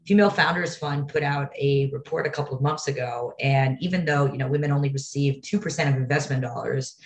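A woman from Brazil, a startup founder, talking as an interview guest, quick and confident. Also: very deep voice, echoey room. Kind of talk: interview conversation.

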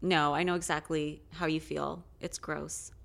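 A middle-aged woman co-hosting a podcast, chatting casually in a monotone delivery. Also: low-pitched, unhurried, vocal fry. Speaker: podcast co-host. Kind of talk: casual chat recapping a reality show.

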